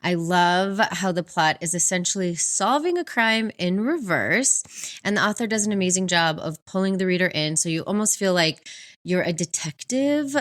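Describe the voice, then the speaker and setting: A woman's voice: on the low side, a bit bright. A woman co-hosting a book podcast, giving an enthusiastic, partly prepared review in a conversational way. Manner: enthusiastic, laughs easily.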